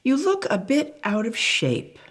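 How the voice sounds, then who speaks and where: A woman's voice: sits high, wildly swooping pitch, a little slow, pitched above the performer's own voice, exaggerated voice. A woman voicing a doting, reassuring spoon parent from a storybook in a singsong, coaxing way. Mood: sympathetic, coaxing.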